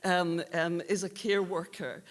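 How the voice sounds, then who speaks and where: A woman's voice: lowish voice, level tone, a little slow. A woman hosting an awards ceremony, giving unscripted closing remarks. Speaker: awards host. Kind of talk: warm-worded closing remarks. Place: stage at an awards ceremony in a hall. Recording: echoey hall with faint audience noise.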